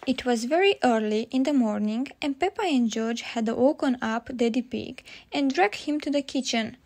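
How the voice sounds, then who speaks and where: Casting: female children's storyteller, high voice with creaky edges, expressive read-aloud narration. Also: moderate pace. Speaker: storyteller reading picture books aloud.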